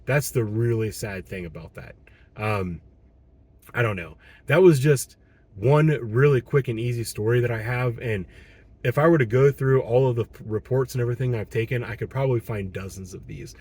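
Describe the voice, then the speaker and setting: A man in his thirties with a Midwestern American accent, a patrol police officer talking on his own in a frustrated, earnest way, hoarse as he loses his voice, with frequent ums and restarts. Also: mid-range voice, moderate pace, darkish tone, no room sound. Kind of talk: solo monologue commentary.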